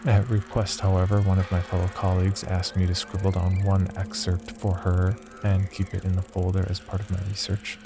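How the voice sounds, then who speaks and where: A man acting as a cold, detached psychology researcher, reading a sinister diary in a measured, clinical horror-story narration. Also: sits low. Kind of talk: horror audio fiction, first-person diary narration. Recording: loud music bed under voice. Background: loud music.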